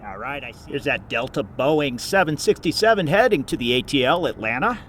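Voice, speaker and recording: A man's voice: mid-range voice, animated, brisk, a bit bright. Guy plane spotting outside, casual chatty commentary, voice goes up and down, bit of vehicle noise behind. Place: outdoors near an airport runway. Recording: outdoor recording with faint traffic noise. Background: faint vehicle and outdoor ambience.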